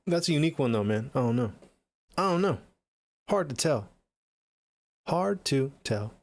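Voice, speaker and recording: Male livestreamer, middle-aged, American accent. Gravelly, casual and slangy, recorded dry. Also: mid-range voice, lively intonation, moderate pace, no echo. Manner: laid-back, slangy, swearing. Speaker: music production livestreamer. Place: small home studio room, indoors.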